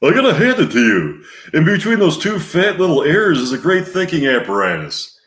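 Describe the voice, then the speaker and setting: Excitable, exasperated sitcom loudmouth as a man acts him out, voice soaring high, echoey room. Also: moderate pace. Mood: shouting, exasperated. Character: loud, excitable sitcom New Yorker, comically exasperated. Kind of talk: classic sitcom impression.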